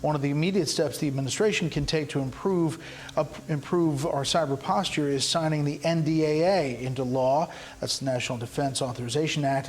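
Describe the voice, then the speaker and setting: A man reporting live news as a television correspondent, partly reading and partly speaking off the cuff. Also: mid-range voice. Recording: news clip with faint background noise.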